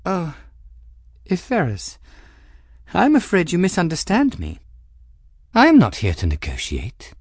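A man's voice: sits high, wildly swooping pitch, measured pace, long pauses, husky, no echo, studio quality, voice pushed up, exaggerated voice. A man voicing a poised, scheming aristocratic woman who speaks with cool, cutting mockery. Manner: poised, cutting, lightly mocking. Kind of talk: audiobook character voice.

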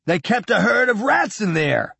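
A man playing an excitable, horrified naval chief engineer in an audiobook, exclaiming in alarm. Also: mid-range voice, measured pace, vocal fry, husky, dry recording, full character voice. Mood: horrified shouting. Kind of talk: audiobook character dialogue.

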